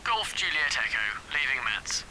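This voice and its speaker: A man playing a calm civilian pilot making clipped, businesslike radio calls in a training demonstration. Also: mid-range voice, a little slow, heavily acted. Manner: clipped, businesslike, matter-of-fact. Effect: aircraft radio transmission. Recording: hissy, noisy, harsh radio link.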